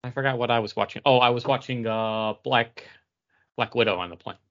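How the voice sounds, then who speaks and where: A man's voice: medium pitch, fairly even intonation, fairly quick, fairly bright tone. A man co-hosting a podcast, chatting in a casual, informal style. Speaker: podcast co-host. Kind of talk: casual chat. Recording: dull, lo-fi audio.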